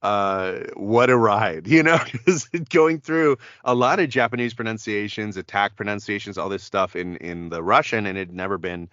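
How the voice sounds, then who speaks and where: Man, low voice, smooth, rambling with fillers, dry dull recording. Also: moderate pace, few pauses, a bit bright, no echo. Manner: rambling, many fillers and restarts. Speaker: voice actor guest. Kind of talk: casual podcast interview.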